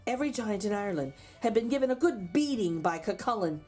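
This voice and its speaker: Female storyteller, animated and dramatic, reading to young children over soft music. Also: medium pitch, quick pace. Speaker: storyteller reading a picture book aloud. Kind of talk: expressive read-aloud for children with chatty asides.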